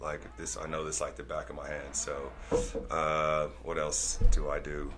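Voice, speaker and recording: A man's voice: rumbling low, completely flat delivery, a little slow, heavy background noise. A man in his forties from Denver, a guest DJ telling stories in casual podcast chat, laid-back and laughing easily. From Denver, Colorado, United States. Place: small studio room crowded with posters. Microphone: desk microphone on a stand.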